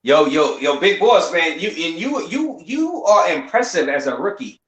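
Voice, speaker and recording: A man's voice: fairly high pitch, sing-song, moderate pace, fairly bright tone, echoey room, low-quality recording. A man on a live stream, chatting casually, laughing often and repeating himself. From United States. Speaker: YouTuber guest on a live stream.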